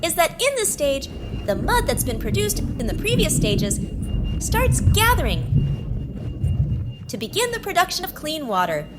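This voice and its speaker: A woman's voice: extremely high pitch, huge pitch swings, a little slow, pauses often, very noisy, heavily acted. A woman voicing a tiny, friendly female water-drop puppet who cheerily explains things on a puppet show. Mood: cheerful, explanatory. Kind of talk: children's puppet show interview.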